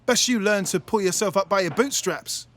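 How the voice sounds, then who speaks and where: A man's voice: sits high, normal speed, fairly bright tone. A man playing a smug, colloquial, cheerfully dismissive patriotic bloke in a satirical audio play. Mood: smugly cheerful.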